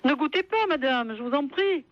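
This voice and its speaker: A woman's voice: high-pitched, animated, normal speed. A polite, earnest woman in a spontaneous telephone conversation, heard over a phone line. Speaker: prank call recipient at a carpentry business.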